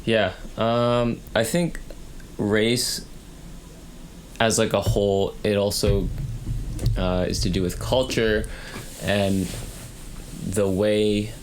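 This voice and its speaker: A man's voice: lowish voice, little pitch movement, slight background noise, poor audio. A young man from Canada co-hosting a student podcast, talking casually with many fillers and restarts. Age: young adult. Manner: halting, many ums and likes. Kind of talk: casual conversation.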